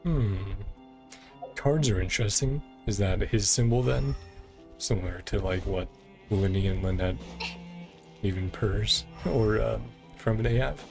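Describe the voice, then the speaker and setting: Gravelly-voiced man musing over a video game. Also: on the low side, unhurried, darkish tone. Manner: musing, thinking aloud in short fragments. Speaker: gamer commenting on own playthrough. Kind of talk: casual gameplay commentary. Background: game music.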